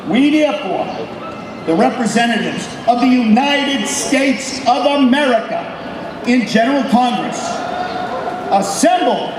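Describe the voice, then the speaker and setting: High-pitched man declaiming slowly, echoing from afar. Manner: loud, solemn, oratorical, with deliberate pauses between phrases. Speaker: military company captain giving a ceremonial reading. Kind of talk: formal public declamation of a historical proclamation. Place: public ceremony at an old statehouse. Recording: distant, echoey public address with background noise.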